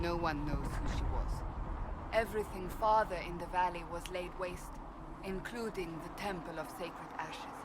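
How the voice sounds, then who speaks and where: Stern warrior woman, acted, over loud ambience. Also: on the low side, heavy background noise, lightly acted. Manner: curt, authoritative. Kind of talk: fantasy video game acting.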